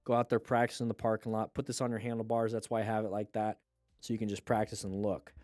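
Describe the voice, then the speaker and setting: A man's voice: lowish voice, flat delivery, fast talker, a little mellow, dry recording, clean studio sound. A man hosting a casual livestream, reviewing motorcycle clips and chatting loosely between them, his voice stuffy and nasal from congestion. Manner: chatty, loose asides, plugs a sale.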